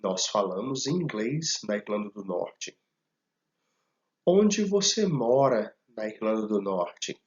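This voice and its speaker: A man teaching a recorded slide lesson, speaking deliberately and repeating each phrase for learners to follow. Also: on the low side, very animated, highs cut off. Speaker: language teacher.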